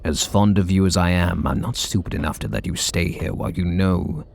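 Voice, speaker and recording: A man playing a gravelly, flustered super villain. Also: fairly quick, a little hiss or hum. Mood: confessing. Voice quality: low gravelly husky voice. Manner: soft and intimate, bashful. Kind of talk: ASMR audio roleplay.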